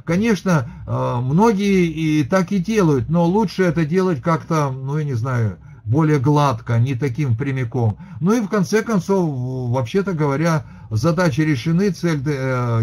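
A man's voice: fairly high pitch, lively intonation, normal speed, few pauses, a little mellow. Hesitant middle-aged man hosting a webinar. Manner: hesitant, with many fillers and restarts; reads audience chat questions aloud and answers. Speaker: webinar host and lecturer. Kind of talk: webinar lecture and Q&A. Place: indoor room, at a desk. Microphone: webcam on a video call.

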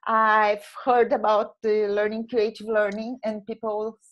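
Slow-spoken Brazilian woman on a video call. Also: medium pitch, monotone, highs cut off. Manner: slow, warm laughter between phrases. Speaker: meeting host and teacher. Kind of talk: casual video-call talk. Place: home room. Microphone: earphone headset mic on a video call.

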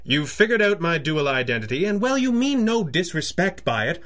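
A man playing a wealthy, composed young gentleman in a 1930s-style radio drama, speaking in a dry, formal, polite way. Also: fairly high pitch, very animated, normal speed. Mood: dry, commanding.

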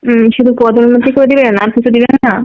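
Woman, Bangladeshi accent, quick speech, medium pitch, casual intimate phone chat, dull lo-fi recording. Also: little pitch movement, noisy background.

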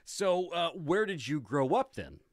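An interviewer on a casual podcast, with a mid-pitched voice that moves up and down a great deal. Questions come informally and sometimes trail off. The sound is very dry and close. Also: very animated, measured pace, no echo.

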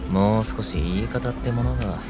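An actor playing a kind twenty-year-old delinquent in an audio drama, a gentle, reassuring peacemaker. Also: male voice, fairly low pitch, fairly quick, over music, close to an everyday voice. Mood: mildly chiding. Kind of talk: Japanese audio drama acting.

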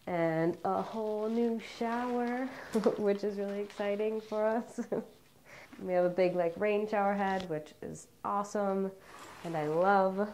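A woman's voice: lowish voice, a little mellow, slight background noise. A young woman with a congested, slightly sick voice, chatting bubbly and casually through a tour of her home, often laughing and saying "like" and "um", recorded indoors on a lapel mic. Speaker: home vlogger. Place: indoors, home basement rooms.

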